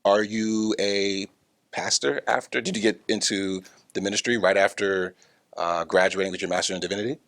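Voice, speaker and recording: A man's voice: on the low side, fairly even intonation, moderate pace, fairly bright tone. An American man hosting a casual interview, reflecting on what he hears in an encouraging, affirming, conversational way. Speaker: interview host.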